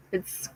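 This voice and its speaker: A woman live streamer chatting casually with her viewers, sounding stuffy and raspy, rambling with lots of fillers. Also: warm tone, a little hiss or hum, rough recording. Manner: slow, rambling, many fillers.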